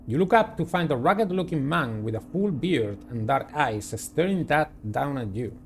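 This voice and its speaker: A man hosting a game playthrough, reading the story aloud in a dramatic, deliberate way and adding his own commentary. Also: fairly high pitch, expressive, gravelly. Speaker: gaming video host. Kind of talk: reading visual novel narration aloud with personal commentary.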